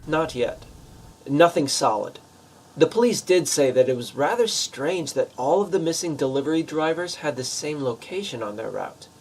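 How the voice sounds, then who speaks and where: A man plays a nervous pizza manager in a radio play, with a slightly high, husky voice. He is polite, hesitant and wary. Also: animated, moderate pace, darkish tone, rough recording. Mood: nervous, probing. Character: nervous, polite male pizza shop manager making a delivery.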